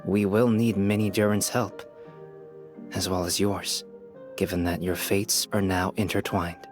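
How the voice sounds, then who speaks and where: Low-voiced, measured scholarly young researcher. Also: male voice, little pitch movement, over music. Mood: grave, thoughtful. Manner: formal, measured, articulate. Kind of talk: game character dialogue.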